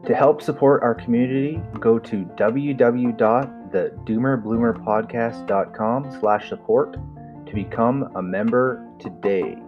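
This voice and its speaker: Lo-fi male intro announcer over guitar. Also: medium pitch. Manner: measured promo delivery. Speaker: podcast announcer. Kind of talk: scripted intro read. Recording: dull lo-fi read over guitar.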